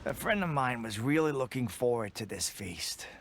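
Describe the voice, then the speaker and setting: A man voicing a wistful, grieving soldier in a video game, gravelly and sorrowful. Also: mid-range voice, animated, normal speed, slight background noise. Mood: wistful, sad. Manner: sighing, sorrowful.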